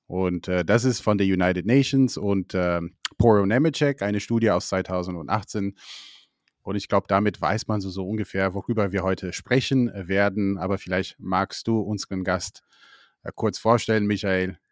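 Low-voiced male podcast co-host. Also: moderate pace, dry recording, clean studio sound. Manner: filler-heavy, reads a passage aloud. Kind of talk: podcast chat.

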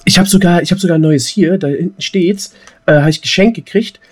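Man, fairly high voice, podcast co-host, casual banter, playful, repeats himself. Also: a little fast, husky. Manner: playful, repeats his phrases.

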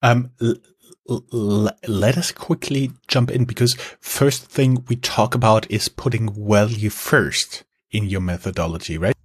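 A man's voice: on the low side, a little slow, husky, no room sound, clean studio sound. A man hosting a podcast interview, introducing the episode and his guest with an upbeat, slightly stumbling delivery. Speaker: host.

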